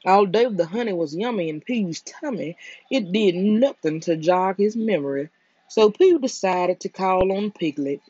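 A storyteller reading a children's book aloud for bedtime in a soothing, deliberate way. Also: mid-range voice, expressive, darkish tone, husky, dry recording, highs cut off.

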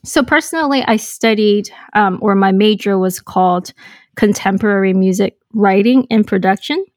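A woman's voice: mid-range voice, moderate pace, darkish tone, no room sound. A young adult woman from Hong Kong telling her story as a podcast guest, reflective and chatty, with many ums and you-knows. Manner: reflective, frequent "um" and "you know", light laughs. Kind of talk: casual interview storytelling.

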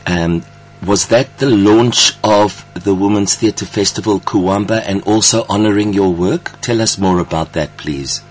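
A man's voice: on the low side, unhurried, a little hiss or hum. A man hosting a conversational interview in an effusive, affirming manner. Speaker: interview host.

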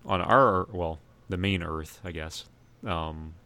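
A man with a very low voice talks as a podcast co-host. His delivery is casual and thoughtful, like someone working through an opinion with friends. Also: slow pace, sharp tone, clean tone. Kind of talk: casual chat about TV shows.

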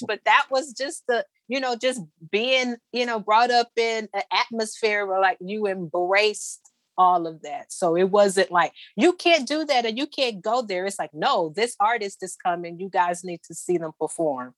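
A woman from Detroit, an urban planner telling lively stories as a guest in a casual conversation. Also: medium pitch, a little slow, no echo. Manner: lively storytelling, many fillers.

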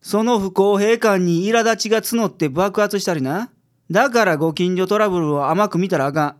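A man with a Kansai accent playing a gruff, pragmatic private detective in a radio drama. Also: sits high, moderate pace, close to an everyday voice. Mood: blunt, gruff. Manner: blunt, worldly-wise. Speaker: voice actor in a community radio drama.

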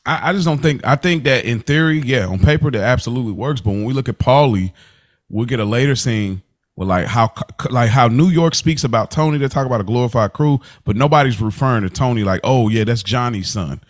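A man's voice: medium pitch, fairly quick, no echo. American man in slangy podcast talk, close dry sound. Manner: conversational, slangy, often repeats phrases to make a point. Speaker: podcast host. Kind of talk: casual podcast discussion of a TV series.

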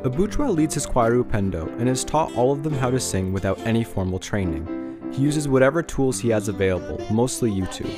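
A man narrating a podcast in a measured, scripted reading. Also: medium pitch, over music. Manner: measured, even read delivery.

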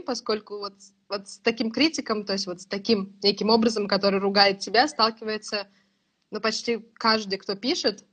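A woman's voice: medium pitch, fairly bright tone. A woman in her thirties, a writing course teacher, explaining at length in casual, thoughtful conversation and laughing now and then. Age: 30s.

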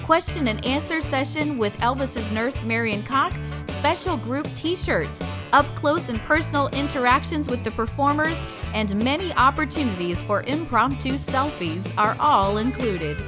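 Female ad announcer, high and very lively, upbeat scripted promo read with music behind. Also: unhurried, hardly any pauses. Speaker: radio network founder and announcer.

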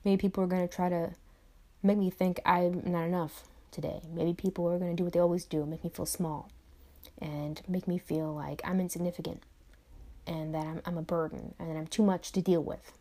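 A woman's voice: lowish voice, level tone, brisk, mellow. A young adult woman speaking to camera in a reflective motivational monologue, repeating phrases and tossing in conversational asides. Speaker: vlogger.

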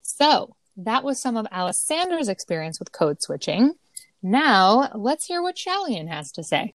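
Female co-host, measured explainer read, very dry close mic. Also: on the high side, sing-song, no room sound. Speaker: podcast co-host. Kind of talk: scripted explanatory narration.

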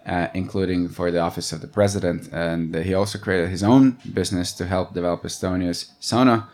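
A man's voice: low-pitched, steady tone, fairly quick, a little mellow. A man hosting an interview, introducing his guest and asking questions with many hesitations. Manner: hesitant, many fillers and restarts.

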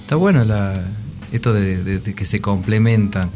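A man's voice: on the low side, moderate pace, warm tone, faint music behind. A man with an Argentine accent in casual radio chat, agreeable and often repeating his phrases. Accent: Argentine Spanish. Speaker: radio show panelist. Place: radio studio with music behind.

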